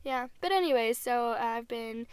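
Young woman on a podcast in her car, hoarse from a cold, kinda high voice, talks fast n restarts a lot. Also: no echo. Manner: chatty, laughing, restarts words often. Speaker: podcast co-host. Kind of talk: casual chat. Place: parked car.

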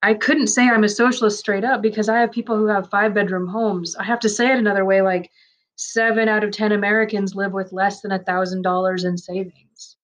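A woman reads a personal account aloud at a middle pitch, steady and measured. Her delivery is reflective and earnest, keeping an even course through the first-person telling. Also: rough recording. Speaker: narrator reading a man's first-person story.

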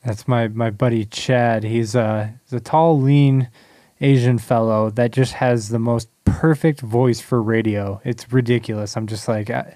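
A man's voice: medium pitch, fairly even intonation, measured pace, mellow, no echo, clean studio sound. A man co-hosting a podcast in easygoing, conversational chat, laughing often and leading the talk with questions. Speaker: podcast co-host. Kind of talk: casual chat.

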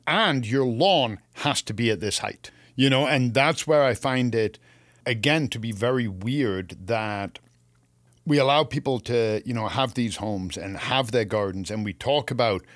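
Man from England, gardening co-host. Mid-pitched, close dry sound, casual opinionated storyteller. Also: no room sound.

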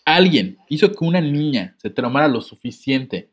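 A man's voice: fairly high pitch, very animated, normal speed. A man co-hosting a podcast, reading a letter aloud and breaking off into jokes and laughter. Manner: joking, laughs often, reads with comic asides. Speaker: podcast co-host.

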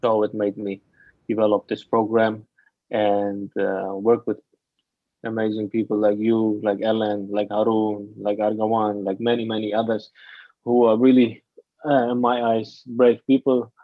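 Guest coach, male, from Afghanistan and Germany, halting speech full of restarts; low monotone voice, dry lo-fi recording. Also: no room sound. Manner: halting, searching for words, with many restarts. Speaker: guest, mental training coach. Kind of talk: casual interview talk.

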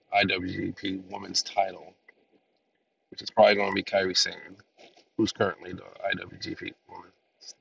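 Gravelly, stuffy-nosed man rambling on a podcast. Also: on the low side, a bit bright, distant mic, slight background noise. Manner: rambling, filler-heavy. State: nasal from a lingering cold. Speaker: podcast host. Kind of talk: casual solo podcast talk. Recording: dull lo-fi, a bit off-mic.

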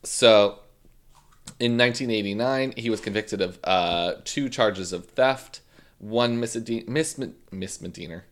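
A man's voice: lowish voice, takes it slowly. A man co-hosting a casual true-crime podcast, joking and teasing, with a slight lisp. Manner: joking, teasing, swears freely. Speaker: podcast co-host.

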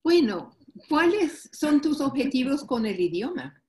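A woman's voice: high voice, big pitch swings, measured pace, dark tone. Woman co-host, asks straight questions, encouraging. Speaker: podcast co-host. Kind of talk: interview questions.

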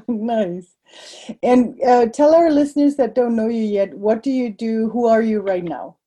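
Upbeat lady podcast host, mellow voice, laughs a lot. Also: a little high, unhurried. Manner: enthusiastic, laughs often. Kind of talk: podcast interview.

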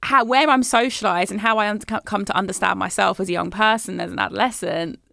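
A young woman interviewing a guest in an easy, enthusiastic way. Her voice has a gravelly fry, and the recording is dry and close. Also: mid-range voice, fast talker, no room sound. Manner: warm, enthusiastic, laughs readily. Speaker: podcast host. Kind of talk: conversational interview.

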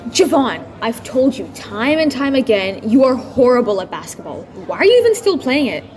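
A young woman acting as a snobbish rich schoolgirl in a student audio drama. Her voice is fairly high, haughty and scornful, the school bully to the core. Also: very animated, normal speed, darkish tone, soft background music.

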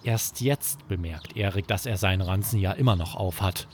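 A man narrating a scripted children's bedtime story as podcast host, with expressive, dramatic storytelling. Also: low voice, normal speed, a little hiss or hum.

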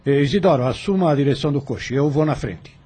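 A stern landowner, husky rough voice, commanding, radio play. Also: male voice, a little high, quick pace, dark tone. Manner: curt, commanding. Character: stern authoritative male landowner. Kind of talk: radio drama acting.

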